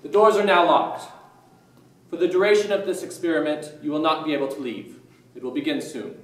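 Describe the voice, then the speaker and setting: In an echoey space, off from the microphone, a man playing a courteous doctor speaks in a fairly high male voice. The delivery is formal and measured, like announcements in a stage drama. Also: fairly even intonation, close to an everyday voice. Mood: stern, formal. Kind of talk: staged play reading. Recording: echoey room, distant mic.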